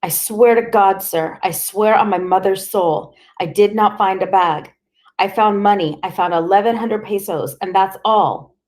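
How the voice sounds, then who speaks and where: A woman's voice: animated, a little slow, a little mellow, lightly acted. A woman voicing a terrified street boy begging and sobbing through a police interrogation. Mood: pleading. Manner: desperate, shrill, sobbing.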